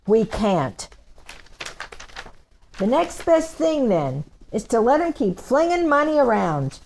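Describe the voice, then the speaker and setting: A woman's voice: a little high, big pitch swings, slow pace, creaky, darkish tone, full character voice. A woman playing a condescending, hard-nosed official in a table reading, sarcastic and pointed. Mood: scornful.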